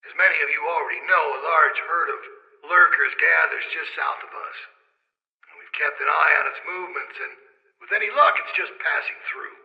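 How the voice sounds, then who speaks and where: An authoritative camp leader in a video game, speaking over an echoing, dull loudspeaker. He addresses his followers in measured, solemn oratory, with a fairly raised pitch. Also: fairly even intonation, creaky. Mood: solemn, rousing. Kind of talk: public announcement, video game acting. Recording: echoing, muffled loudspeaker sound.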